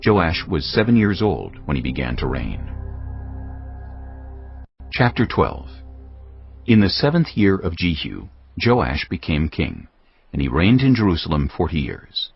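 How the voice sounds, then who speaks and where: Male scripture narrator, very low voice, animated intonation, dramatic scripted reading, slightly muffled lo-fi audio, music behind. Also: unhurried, vocal fry, long pauses. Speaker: Bible narrator. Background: background music.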